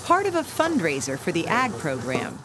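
A woman's voice: fairly low pitch, wildly swooping pitch, normal speed, heavy background noise. A woman narrating a television feature in an upbeat, scripted storytelling manner.

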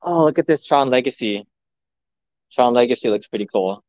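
A man livestreaming a walkthrough of a pinball showroom, excitedly talking to his viewers, recorded on a phone indoors. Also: mid-range voice, normal speed, dry recording, dull audio. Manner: excited, enthusiastic, addressing viewers. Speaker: vlogger, live streamer. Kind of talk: live walkthrough commentary. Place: large indoor arcade showroom. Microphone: phone camera.

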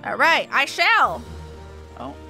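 A woman streams a game with casual, informal commentary. Her voice is bright, dropping into muttered asides, with faint music behind her. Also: high voice, wildly swooping pitch, slow pace, vocal fry.

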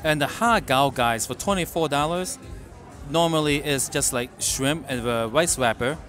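A middle-aged man reviewing food in a casual vlog from a restaurant booth, making wry, deadpan remarks into a close mic. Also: a little high, normal speed, faint music behind. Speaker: food vlogger. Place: dim restaurant booth, indoors.

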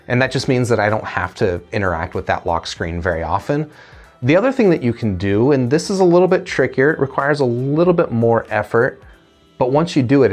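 A man in his thirties talking casually to camera as a gadget reviewer, explaining things conversationally into a lapel mic. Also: fairly low pitch. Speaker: gadget reviewer, video host. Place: indoor studio room.

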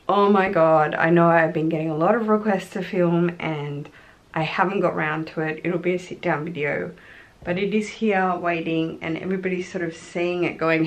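A woman's voice: lowish voice, slight background noise. A woman in her thirties with an Australian accent, chatting casually and gushingly to camera for a vlog at home. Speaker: vlogger. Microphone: camera at arm's length or on table.

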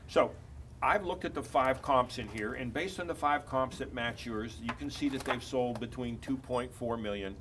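An older man in his sixties giving a confident real estate listing pitch in an office conference room. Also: moderate pace, noisy background. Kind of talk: mock listing presentation.

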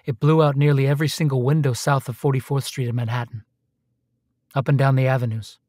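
Actor as a dogged detective, dry close sound. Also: male voice, medium pitch, fairly even intonation, fairly quick, a little mellow, no echo, close to an everyday voice. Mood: earnest, grave. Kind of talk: dramatic radio play acting.

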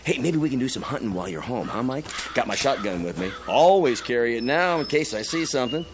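An actor playing a friendly, chatty small-town neighbor in a radio drama, with a folksy manner. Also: male voice, mid-range voice, lively intonation, fast talker, noisy background, lightly acted. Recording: classical music playing behind the voice.

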